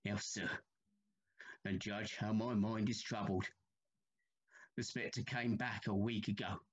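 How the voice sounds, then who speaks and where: A man's voice: lowish voice, steady tone, slow, husky, highs cut off. An actor playing a haunted, troubled railway signalman in a period audio drama, telling his tale with grave urgency. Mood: troubled, urgent.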